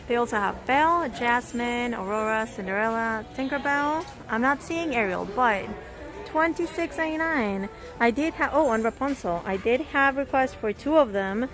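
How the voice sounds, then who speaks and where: A woman filming a casual shopping walkthrough on her phone in a store, reading out prices and exclaiming at surprises. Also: a little high. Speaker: shopping vlogger. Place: indoor discount store. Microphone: handheld phone camera. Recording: store music playing behind. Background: store music.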